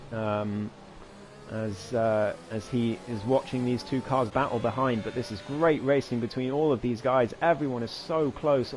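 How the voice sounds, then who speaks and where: A hoarse man giving live commentary on an online racing league, his voice climbing excitedly through each overtake. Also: medium pitch, slight background noise. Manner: rising excitedly during overtakes, chatty asides. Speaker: race commentator.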